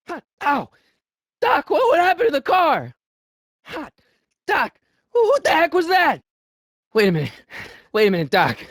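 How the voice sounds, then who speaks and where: An excitable, wisecracking eighties American teenage boy as a video game hero. His slangy, youthful delivery swings widely in pitch. The recording is close and dry, with no room sound. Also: no room sound, full character voice. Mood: startled, incredulous. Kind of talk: animated video game voice lines.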